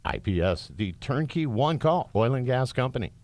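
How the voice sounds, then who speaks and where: A man's voice: medium pitch, expressive, normal speed, darkish tone, no echo. A man reading a scripted company advertisement as a commercial announcer.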